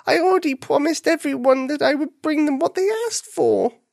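A woman voicing a small, earnest young male bunny in a children's story, anxious and rushed, with a pleading manner. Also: sits high, creaky, darkish tone, dry recording, studio quality, pitched above the performer's own voice. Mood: sheepish, worried.

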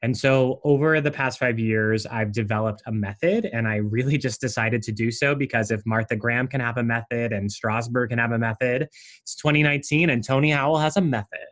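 Guy coaching on branding in a podcast chat, animated, lots of "like", middle pitch. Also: a little fast. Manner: animated explainer, frequent "like" asides. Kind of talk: conversational interview.

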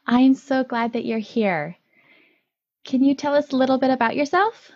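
A woman's voice: sits high, moderate pace, a little mellow, no room sound. A woman hosting an interview podcast, reading a scripted intro and asking questions. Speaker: podcast host. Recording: dull dry recording with faint music.

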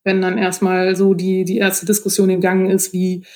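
A woman's voice: medium pitch, monotone, moderate pace, a little mellow. A woman speaking as a guest in a casual interview, explaining her experiences hesitantly and often laughing as she goes. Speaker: podcast guest, author.

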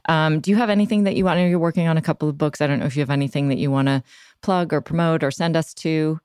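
Female podcast host with a strikingly low voice, conversational delivery, dry close recording. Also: steady tone, racing, darkish tone, clean tone, no echo. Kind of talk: conversational interview hosting.

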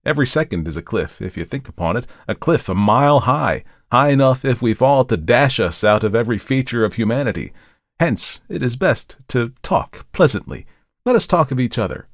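A man's voice: medium pitch, animated, moderate pace, dry recording, studio quality, pitched above the performer's own voice, natural voice. A man voicing an agitated, brooding male character in a dramatic storybook reading, speaking with bitter, pleading intensity. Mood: feverish, pleading. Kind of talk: dramatic audiobook character acting.

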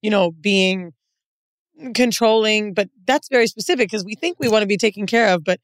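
A woman's voice: mid-range voice, normal speed, no room sound. Woman podcast host asking nosy questions, close dry mic. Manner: curious, prompting questions. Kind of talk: casual podcast chat.

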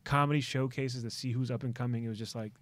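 A male comedian hosting a podcast, an animated, slangy storyteller who slips into quick voices. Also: medium pitch, no echo. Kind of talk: casual chat.

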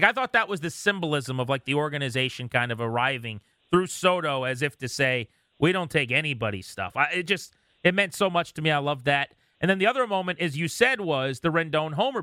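A man's voice: a little high, sharp tone, no echo. A man hosting a sports radio show, chatting casually with a fan's enthusiasm. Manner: enthusiastic fan, conversational.

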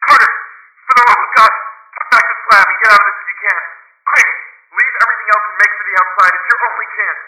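A man voicing a terrified, doomed explorer in a shaky, hoarse, frantic voice over a telephone-style filter. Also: high-pitched, fast, pushed and bright, pitched above the performer's own voice. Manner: frantic, urgent, gasping exclamations. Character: terrified doomed male explorer crying warnings from underground. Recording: tinny, echoing distant telephone voice.

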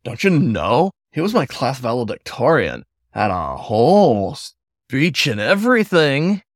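A man's voice: mid-range voice, big pitch swings, a little slow, no echo. Man doing a smirky cacomistle stock guy, teasing, dry close sound. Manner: teasing, sarcastic. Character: sardonic young male cacomistle store worker.

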